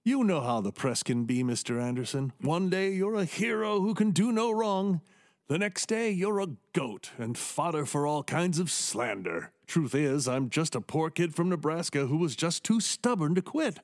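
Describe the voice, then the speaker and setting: A man's voice: a little high, expressive, moderate pace. A man playing a charming, brilliant neurosurgeon in a radio drama, speaking expansively and persuasively. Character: brilliant, charming middle-aged male neurosurgeon.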